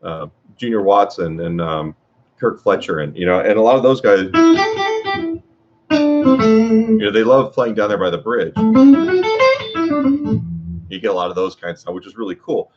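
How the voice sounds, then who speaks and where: A man teaching guitar in a casual workshop talk, with a low voice and a rambling way of speaking, full of fillers and restarts. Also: animated, normal speed, long pauses. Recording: dull lo-fi sound.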